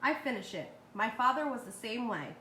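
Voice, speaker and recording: A woman's voice: fairly high pitch, animated, normal speed, pushed and bright. A woman voicing a firm, wary male dentist from a children's storybook, matter-of-fact and emphatic. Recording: roomy, echoey with background noise.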